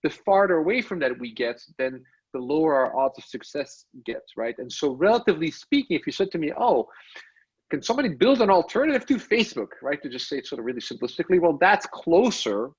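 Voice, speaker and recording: Belgian-born tech guest, husky slightly high voice, dull lo-fi line, rambling reflective chat. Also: male voice, sing-song, a little fast. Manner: thinks aloud, frequent "right?" and restarts. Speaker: podcast guest, tech studio lead. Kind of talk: casual interview talk.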